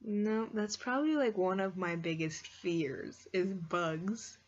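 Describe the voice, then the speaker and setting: A woman's voice: mid-range voice, slow pace. A woman chatting casually and expressively like a video blogger, rambling along with "like" sprinkled through her sentences. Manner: expressive, squeamish, exclaims in disgust; heavy use of "like" as a filler. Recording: dull lo-fi sound with clear background noise.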